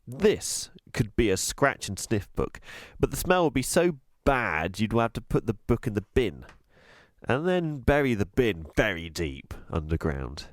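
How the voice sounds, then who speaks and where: Very animated, low-pitched male storybook reader. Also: dry recording, studio quality. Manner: expressive, deliberate, emphatic delivery. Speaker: teacher reading aloud to a school class. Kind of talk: scripted storybook narration.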